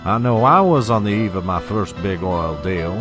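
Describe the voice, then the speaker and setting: Man playing a low-voiced, scheming oil tycoon villain. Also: expressive, close to an everyday voice. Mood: smug menace. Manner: mock-polite, menacing, unhurried insinuation. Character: smooth, scheming male oil tycoon and blackmailer. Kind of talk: audio drama acting. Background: music.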